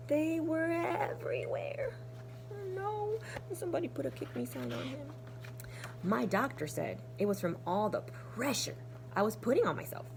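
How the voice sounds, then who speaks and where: A woman teacher reading to young children in an expressive, deliberate storytelling manner. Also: medium pitch, a little hiss or hum. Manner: animated, deliberate storytelling with hushed, breathy asides. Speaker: kindergarten teacher. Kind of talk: expressive children's storybook read-aloud with asides.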